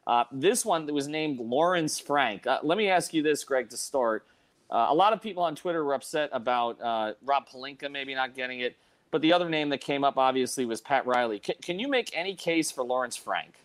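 A man hosts a sports talk show with a middling pitch. He speaks casually and conversationally, as someone running a program would. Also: lively intonation, fairly quick, fairly bright tone. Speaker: podcast host.

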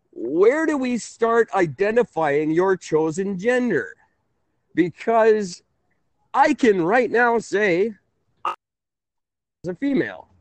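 A man's voice: sits high, dry recording. A man in his thirties hosting a casual call-in radio talk show, rambling and correcting himself. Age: 30s. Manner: rambling, chuckling, self-correcting.

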